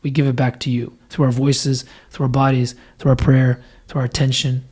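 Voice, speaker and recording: A man's voice: mid-range voice, level tone, moderate pace, a little mellow, husky. A man with a scratchy voice, a deacon explaining church worship in earnest, thoughtful conversation, building his points through repetition. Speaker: deacon guest.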